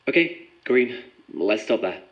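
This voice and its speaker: A man's voice: mid-range voice, very animated, a little slow. An actor playing a composed, methodical male researcher in an audio drama, speaking in a clinical, probing, precise way. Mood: businesslike, dissatisfied. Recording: muffled sound with music behind.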